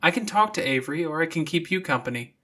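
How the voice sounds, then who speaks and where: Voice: medium pitch, animated, fast, fairly bright tone, voice pushed up. A narrator voicing a sensible, wry and caring teenage girl in an audiobook. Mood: concerned.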